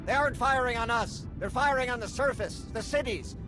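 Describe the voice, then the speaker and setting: A man's voice: very high-pitched, a little fast, music in the background. A man playing an alarmed fleet crew member in a sci-fi game, reporting urgently.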